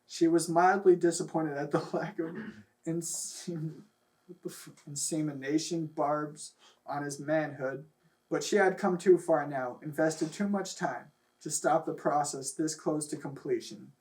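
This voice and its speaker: Man reading aloud, slightly high voice. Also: steady tone, measured pace, darkish tone. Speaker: reader of fan fiction. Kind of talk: reading a story aloud, then casual chat.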